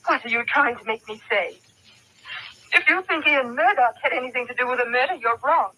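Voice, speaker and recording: Bright, determined young fiancée. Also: female voice, a little high, fairly quick. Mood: defensive. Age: young adult. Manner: earnest, dramatic, well-spoken. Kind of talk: old-time radio drama acting. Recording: thin, noisy vintage radio recording.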